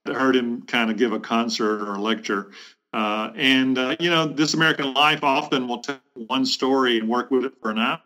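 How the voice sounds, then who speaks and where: A middle-aged man, a bishop answering interview questions in a reflective, halting way with measured phrasing. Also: mid-range voice, fairly even intonation, pushed and bright, rough recording. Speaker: bishop, podcast guest. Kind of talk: thoughtful interview conversation.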